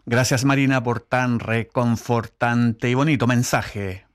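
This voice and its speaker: A man co-hosting a radio program, reading listener messages in a clear announcer style. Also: mid-range voice, fairly even intonation, unhurried. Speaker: radio co-host. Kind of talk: scripted radio presentation.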